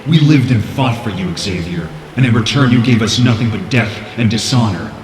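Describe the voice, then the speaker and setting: A man's voice: fairly low pitch, animated, moderate pace, lightly acted. An actor playing a vengeful demonic man in an audio drama, taunting and menacing. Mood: taunting, bitter. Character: vengeful demonic man, twisted former student turned tormentor. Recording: echoey, distant, noisy background.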